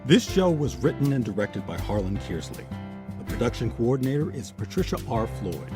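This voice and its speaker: A man hosting and narrating a radio-style history program, reading a script in a measured, earnest way. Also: medium pitch, big pitch swings, music in the background. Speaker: host and narrator. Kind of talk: scripted narration and closing credits.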